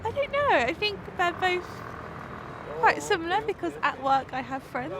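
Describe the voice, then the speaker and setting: A woman's voice: extremely high pitch, sing-song, normal speed, background noise. A woman giving a light, easygoing street interview answer.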